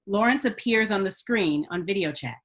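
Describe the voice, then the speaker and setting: A woman's voice: mid-range voice, lively intonation, moderate pace, fairly bright tone. A woman reading stage directions as scripted narration for a screenplay table read.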